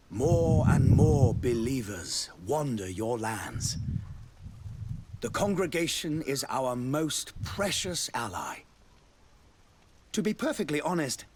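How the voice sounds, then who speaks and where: A man's voice: mid-range voice, noisy background. A man playing a courtly, devout ambassador in a fantasy video game, speaking in a formal, florid, diplomatic way. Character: courtly, devout male ambassador of a theocratic nation.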